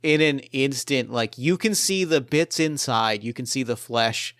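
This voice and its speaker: Clear-voiced man running a tabletop game. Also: medium pitch, a little slow, fairly bright tone, no echo. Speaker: podcast host and game master. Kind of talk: improvised tabletop narration and casual chat.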